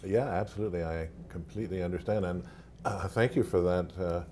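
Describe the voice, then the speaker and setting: A man with a deep voice asks questions in a relaxed, casual way. His tone is dark and mellow. He hesitates often and trails through fillers. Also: brisk, slight background noise. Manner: hesitant, with fillers and light laughs. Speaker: interviewer asking questions. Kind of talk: casual conversation.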